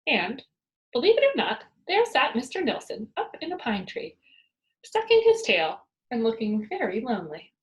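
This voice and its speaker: A woman reading a children's book aloud as a storyteller, with expressive narration for young pupils. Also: a little high, highs cut off.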